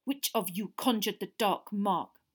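A woman's voice: mid-range voice, unhurried, fairly bright tone, slightly rough. Woman voicing a cold, curt, stern older official. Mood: cold anger. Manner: accusing, sarcastic, clipped. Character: stern, enraged older male ministry official.

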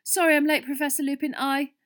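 A woman's voice: medium pitch, expressive, fairly quick, close to an everyday voice. A woman voicing an earnest, hot-tempered schoolboy in an audiobook. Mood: flustered. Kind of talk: audiobook character voice.

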